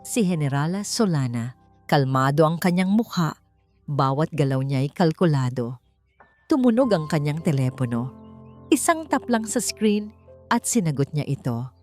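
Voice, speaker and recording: A woman's voice: low-pitched, big pitch swings, normal speed, darkish tone, faint music behind. A woman with a Filipino accent reading a scripted, dramatic story as its narrator.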